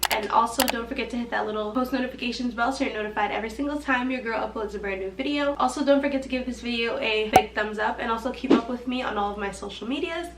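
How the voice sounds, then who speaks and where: A woman's voice: fairly high pitch, fast talker, hardly any pauses, recorded from a distance, noisy background. A young woman chatting casually to camera in a vlog, a little nasal from allergies and acting out her own past reactions. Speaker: beauty vlogger. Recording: roomy echo, slightly off-mic, faint music.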